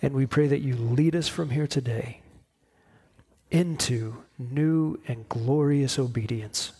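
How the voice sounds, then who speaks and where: A man in his forties preaching a sermon, explaining in measured short phrases with pauses. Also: medium pitch, mellow, slightly rough. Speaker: pastor preaching. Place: church stage at a lectern, indoors.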